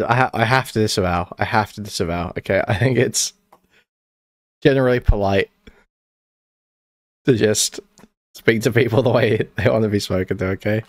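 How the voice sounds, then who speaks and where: A man's voice: fairly low pitch, dry recording. Loose, slangy streamer guy, dry sound. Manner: loose, laughing, slangy, stumbling restarts. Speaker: streamer reacting to a video. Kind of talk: casual chat.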